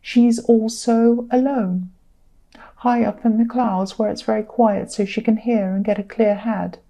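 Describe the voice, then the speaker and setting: A tired woman with a croaky, mellow voice talks through a tarot spread. She speaks earnestly and circles back to repeat her points for emphasis. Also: on the high side, fairly even intonation, unhurried. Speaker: tarot reader. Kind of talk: casual tarot reading to camera.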